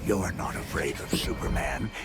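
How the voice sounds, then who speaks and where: An actor playing a sinister game villain who taunts like a mocking therapist, menacing and clinical, with music behind him. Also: male voice, low-pitched, normal speed, lightly acted. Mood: mocking menace. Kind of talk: dramatic video game acting. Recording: loud background music.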